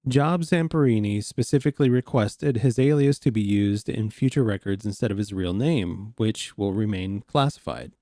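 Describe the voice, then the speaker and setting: A man's voice: on the low side, normal speed, few pauses, mellow. A man hosting a reaction video, reading text aloud and adding informal, incredulous asides. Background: music.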